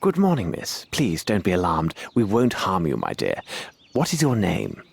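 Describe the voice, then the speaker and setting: A clever, composed gentleman detective. His voice is on the low side with very lively intonation. He speaks formally and precisely, polite yet in command. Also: normal speed, creaky, slightly rough, heavily acted. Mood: gently questioning. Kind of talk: video game cutscene acting.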